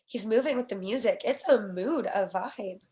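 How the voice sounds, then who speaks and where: A woman's voice: mid-range voice, normal speed, darkish tone. A woman reading out the stage directions of a comedy screenplay as scripted narration.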